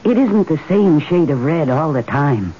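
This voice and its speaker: An actress playing a dreamy, serenely stubborn suburban wife in a 1970s radio drama, airy and gently insistent. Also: female voice, deep voice, lively intonation, measured pace. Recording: dull, noisy old radio recording.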